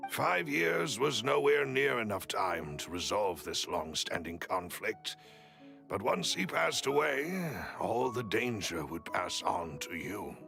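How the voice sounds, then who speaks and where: A man playing a loyal, cautious butler in a video game, speaking formally and politely with a gravelly voice. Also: fairly low pitch, sing-song, takes it slowly, bright, forceful tone, heavily acted. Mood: solemn, confiding. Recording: music behind dialogue.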